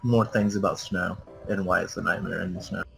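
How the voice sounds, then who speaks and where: A man's voice: low-pitched, fairly even intonation, brisk, gravelly, fairly bright tone, soft background music. A man chatting casually as a co-commentator on gameplay, trading banter.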